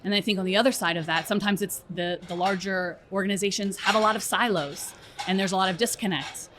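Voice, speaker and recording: A woman's voice: mid-range voice, steady tone, quick pace, background noise. A woman speaking as an expert guest in a conversational interview, explaining things and often checking in with "right?". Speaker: interview guest, strategy director at a software company.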